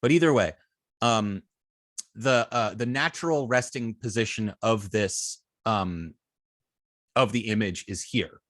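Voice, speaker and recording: Low-voiced man teaching, halting and close. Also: fairly bright tone, dry recording. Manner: halting, repeats and restarts phrases while reasoning. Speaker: web design instructor. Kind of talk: informal hands-on teaching, thinking aloud.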